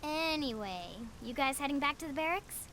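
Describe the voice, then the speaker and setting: Game role: chatty young teen girl fixer, very animated high voice, casual, upbeat. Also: normal speed, a little hiss or hum. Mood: breezy, cheerful. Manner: breezy, casual, eager. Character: perky, chatty thirteen-year-old girl mechanic. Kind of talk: video game cutscene acting. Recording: loud music under the dialogue.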